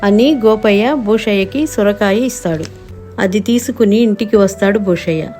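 A woman's voice: medium pitch, normal speed, warm tone, faint music behind. A woman narrating a scripted moral story for children.

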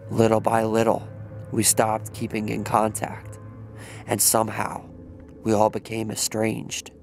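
Slow, gravelly monotone male narrator. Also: on the low side, faint music behind. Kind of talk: scripted story narration.

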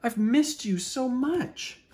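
Male reader as emotional bear in a children's story, raised voice pitch, earnest and pleading. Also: expressive, slow, a little mellow, heavily acted. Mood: eager, emotional. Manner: exclaiming, pleading, stammering.

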